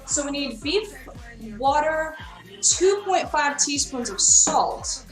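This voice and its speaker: A woman's voice: high voice, animated, slow, distant mic. A young woman chatting with her chat as she cooks on a live stream in a friend's kitchen. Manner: chatty, reads out and answers chat. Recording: roomy, off-mic, with faint music. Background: faint music.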